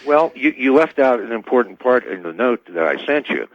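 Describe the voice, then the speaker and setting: A man speaking as a guest in a radio interview, choosing his words carefully and formally, with hesitant, measured phrasing. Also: mid-range voice, sing-song, dry recording. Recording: dull band-limited radio line.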